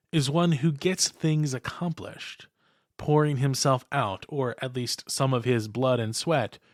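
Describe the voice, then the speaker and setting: Male podcast essayist, mid-pitched, measured and scholarly, very dry recording. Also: no echo. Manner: measured, erudite, formal phrasing. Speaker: podcast host. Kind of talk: scripted essay narration.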